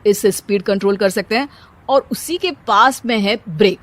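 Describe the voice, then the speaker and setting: Wry young husband for animated family comedy, performed by a woman, teasing, medium pitch. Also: normal speed, close to an everyday voice. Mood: instructive, wry. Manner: sarcastic, joking. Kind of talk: animated cartoon story dialogue.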